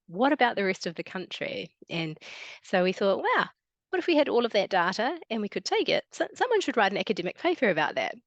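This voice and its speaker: A woman's voice: medium pitch, no room sound. A young adult woman with a New Zealand accent, giving a friendly, chatty online talk as a wildlife biologist, with frequent ums and restarts. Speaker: guest speaker, wildlife biologist.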